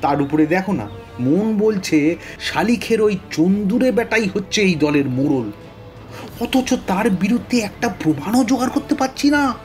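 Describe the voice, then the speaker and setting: An actor playing a blustering, easily baffled police officer with a querulous manner in a detective audio drama. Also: male voice, high voice, expressive, normal speed, a little mellow, soft background music. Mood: resigned.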